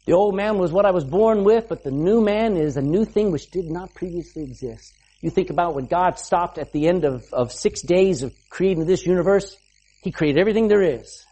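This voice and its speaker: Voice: medium pitch, mellow, husky. A preacher giving an emphatic sermon, asking the listeners rhetorical questions and punctuating points with "amen".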